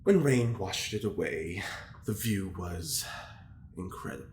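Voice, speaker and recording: A prim, scheming male prisoner dictating a diary in an audio drama. He is slow and theatrical, feigning innocence with swooping intonation. The room echoes around him, with faint noise. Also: sits low, expressive, darkish tone. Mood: wistful, haughty. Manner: affected, leisurely, theatrical, fussy. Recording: roomy echo with faint background noise.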